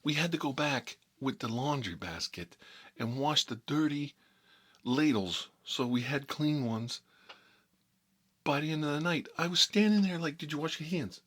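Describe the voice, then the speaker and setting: A man playing an exasperated mob boss in a comedy monologue, rambling in tough-guy slang and repeating himself, with a husky voice. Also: a little high, moderate pace, fairly bright tone. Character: exasperated mob boss telling family stories. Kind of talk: rambling comedic storytelling in character, between whispers and outbursts.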